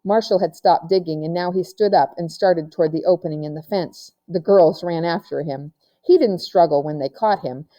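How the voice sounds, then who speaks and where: A woman's voice: fairly low pitch, warm tone. A woman narrating a children's novel aloud, like a teacher reading to pupils.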